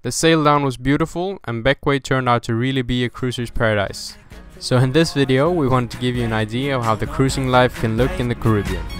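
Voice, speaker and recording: Calm man narrating over music. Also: medium pitch, brisk, few pauses. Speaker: sailing vlogger narrator.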